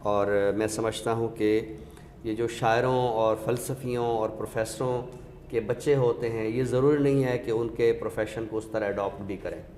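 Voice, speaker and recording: A man with a Pakistani accent, giving a literary talk with recited verse in a measured, explanatory way with pauses. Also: lowish voice, fairly even intonation, darkish tone. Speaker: host of an Urdu literature show. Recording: echoey reverberant room, faint noise.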